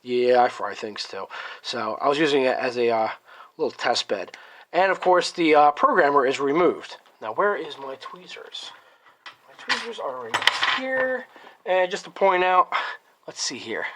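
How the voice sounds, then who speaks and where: A man's voice: mid-range voice, expressive, measured pace, background noise. A man still getting over a cold, his voice a little off, casually walking through his electronics project at a workbench while thinking aloud. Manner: thinking aloud, frequent ums and self-corrections. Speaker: electronics hobbyist presenter. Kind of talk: casual hands-on tech walkthrough.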